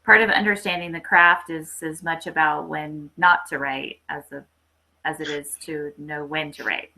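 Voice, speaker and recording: A woman's voice: low-pitched, fairly even intonation, normal speed, vocal fry, pushed and bright, poor audio. A woman hosting a podcast in casual literary discussion, often repeating phrases as she gathers her thoughts. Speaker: podcast host.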